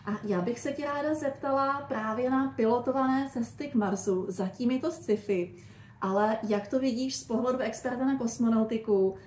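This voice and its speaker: A woman's voice: fairly high pitch, darkish tone. A woman hosting a podcast and putting questions to a guest in a conversational interview. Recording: echoey room with faint noise.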